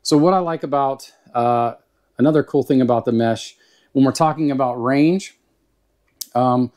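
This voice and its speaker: Male gear reviewer, Southern US accent, mid-pitched voice, folksy and unhurried, informal monologue delivery. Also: a little mellow. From Southern United States. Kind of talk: casual product review monologue.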